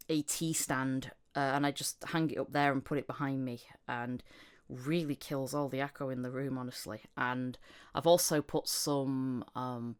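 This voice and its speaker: A woman with a low-pitched voice hosts a casual podcast chat. She speaks conversationally and anecdotally, like a voice actor swapping shop talk with a colleague. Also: a bit bright, clear, resonant tone. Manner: anecdotal, acting out reported conversations.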